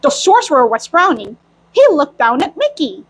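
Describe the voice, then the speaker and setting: A woman with an extremely high, lively voice tells a story and chats in character. She plays at being a cartoon mouse girl, with a childlike, playful manner and animated swings in pitch. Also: normal speed, slight background noise, rough recording. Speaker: storyteller in costume. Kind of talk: in-character storytime reading and chat.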